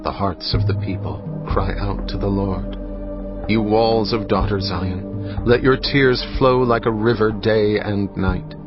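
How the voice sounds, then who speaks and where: Male narrator, fairly deep voice, measured dramatic scripture reading, solemn, music behind. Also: fairly even intonation, muffled recording.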